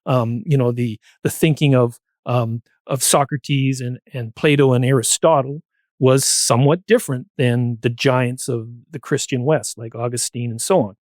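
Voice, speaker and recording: A man's voice: mid-range voice, steady tone, moderate pace, a little mellow, no room sound. A man talking reflectively as a podcast guest, with hesitant, academic phrasing. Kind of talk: reflective discussion.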